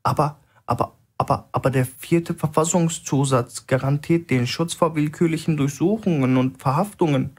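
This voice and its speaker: Shrill, stammering, nervous man. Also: normal speed, few pauses, breathy edge. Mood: panicked. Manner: stuttering, shrill, shaky.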